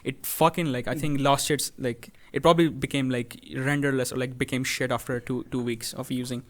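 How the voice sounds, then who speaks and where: A man's voice: medium pitch, steady tone, a little fast. A young man co-hosting a podcast in casual banter, slangy, profane and jokey. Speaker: podcast co-host.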